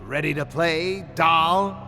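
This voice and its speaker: A man voicing a small, maniacal evil puppet in a horror story, with a mocking, drawn-out showman delivery. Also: a little high, creaky, pushed and bright, faint music behind, pitched above the performer's own voice, full character voice. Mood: taunting.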